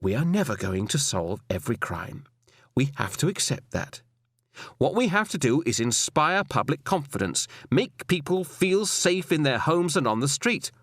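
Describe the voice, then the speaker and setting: A man's voice: medium pitch, sing-song, moderate pace, fairly bright tone, no echo, clean studio sound. A man voicing a cold, stern, scornful senior policewoman in an audiobook, with a clipped, authoritative, lecturing manner. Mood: cold, firm. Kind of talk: audiobook character dialogue.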